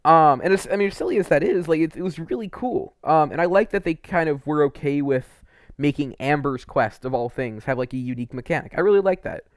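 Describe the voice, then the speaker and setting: A man's voice: a little high, animated, a little fast, dry recording, studio quality. A young man giving casual, unscripted video game commentary in an informal, enthusiastic way, with some mild swearing.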